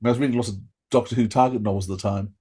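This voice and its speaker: A man's voice: on the low side, fairly even intonation, racing, mellow, husky. A middle-aged man from Australia, hosting a casual interview and talking with eager, rambling restarts. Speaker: podcast host.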